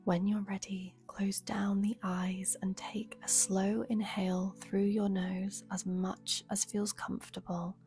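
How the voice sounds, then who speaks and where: Very slow, soft woman guiding meditation. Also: mid-range voice, level tone, soft background music. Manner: soft, breathy, soothing, unhurried delivery. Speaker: yoga nidra teacher, meditation guide. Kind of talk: guided meditation.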